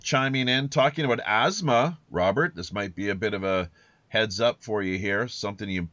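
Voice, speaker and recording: Low-voiced man hosting a lo-fi podcast. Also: moderate pace, fairly bright tone, dry recording. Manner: rambling, folksy, playful pirate lingo. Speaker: podcast host. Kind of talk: unscripted pirate-themed podcast hosting.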